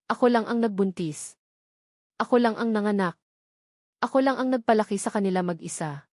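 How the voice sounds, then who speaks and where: A woman voicing a hurt young single mother in a love story, quietly reproachful and insistent. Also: mid-range voice, moderate pace, no room sound, clean studio sound, lightly acted. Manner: quiet, insistent repeated phrases. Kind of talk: dramatic audio love story.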